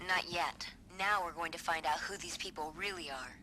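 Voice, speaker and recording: A woman playing a calm, controlled intelligence operative in a video game. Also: fairly low pitch, normal speed, slight background noise. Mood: cool, serious. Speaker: voice actor in a video game cutscene. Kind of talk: game dialogue.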